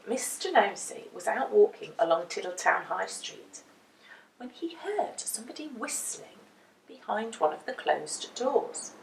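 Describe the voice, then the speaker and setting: A woman telling a children's story in an expressive, deliberate way with dramatic emphasis. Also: a little high. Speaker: storyteller reading a children's book. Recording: roomy echo, distant microphone.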